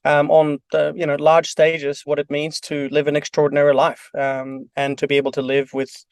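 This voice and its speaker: Podcast guest: Norwegian man, thirties, sincere and hesitant delivery, slightly higher pitch, dry mic. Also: completely flat delivery, moderate pace, no room sound. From Norway. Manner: earnest, hesitant with many ums and uhs. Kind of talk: podcast interview.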